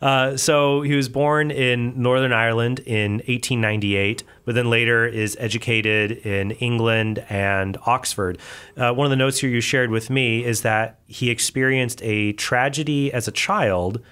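A man in a mid-range voice hosts a casual podcast, chatty and curious. He sets up topics and keeps asking his co-host to clear things up. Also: medium pitch, steady tone, measured pace, fairly bright tone. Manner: curious, asks questions, chatty.

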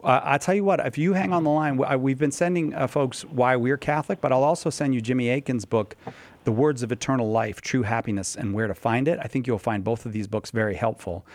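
A man's voice: mid-range voice, a little fast, darkish tone, no echo. Chatty male radio call-in host. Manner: friendly, chatty, self-correcting. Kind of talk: live call-in radio hosting. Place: radio studio.

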